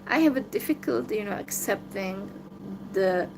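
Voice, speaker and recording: A woman's voice: mid-range voice, animated, normal speed, creaky, a little hiss or hum, low-quality recording. A woman with a Hungarian accent, talking alone to her viewers in a downcast, hesitant way with many fillers. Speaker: vlogger. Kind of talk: solo livestream monologue.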